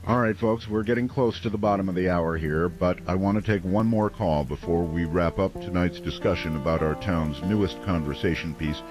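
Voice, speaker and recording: An actor playing an affable small-town late-night radio host, polite, reassuring and folksy with callers and listeners. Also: male voice, low-pitched, brisk, few pauses, music in the background, lightly acted. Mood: polite interest. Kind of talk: radio drama, late-night talk show hosting.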